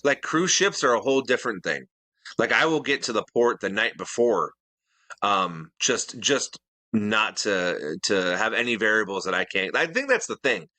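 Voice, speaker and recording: A man's voice: lowish voice, expressive, moderate pace, pushed and bright, no echo. A middle-aged man co-hosting a casual podcast chat, earnest and detail-minded, often restarting his sentences. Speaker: podcast co-host.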